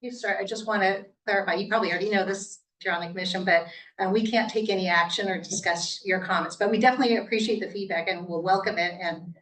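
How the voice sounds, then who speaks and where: A woman chairs a city commission meeting in a polite, procedural way. Her voice sits at a middle pitch. It comes from some distance in an echoing chamber. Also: fairly even intonation, fast, fairly bright tone. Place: council chamber. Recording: echoey chamber, mic at a distance.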